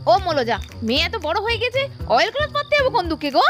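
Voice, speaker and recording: A put-upon village mother doll, as a woman narrator plays her, scolds and nags with sarcasm. The voice is very high and piercing, with faint music beneath the puppet cartoon. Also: big pitch swings, very fast, fairly bright tone, exaggerated voice. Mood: exasperated. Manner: sarcastic, nagging.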